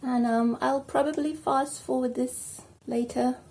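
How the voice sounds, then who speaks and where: A South African woman chatting casually at home while doing her hair, rambling and filling pauses with ums. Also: high-pitched, fairly even intonation, darkish tone. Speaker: vlogger. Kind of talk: casual hair tutorial chat.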